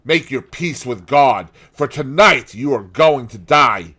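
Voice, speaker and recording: A man voicing a grim, vengeful sailor in an audiobook, growling threats in a forceful, menacing way. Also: mid-range voice, expressive, slow, slightly rough, pitched above the performer's own voice, full character voice.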